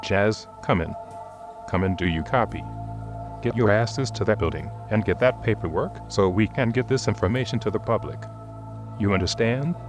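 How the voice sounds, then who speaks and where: A man's voice: fairly low pitch, natural voice. A man playing a brusque, foul-mouthed, hot-tempered police chief giving orders in an audio drama. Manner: brusque, profane, barking orders.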